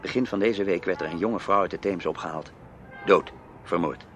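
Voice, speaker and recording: A man's voice: deep voice, normal speed, soft background music, natural voice. An actor playing a self-assured, probing man in a radio play, dry and pointed in his questions. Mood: serious, pressing. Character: Tim Valentine, probing, self-assured male acquaintance. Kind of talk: Dutch radio play acting. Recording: noisy old radio play recording.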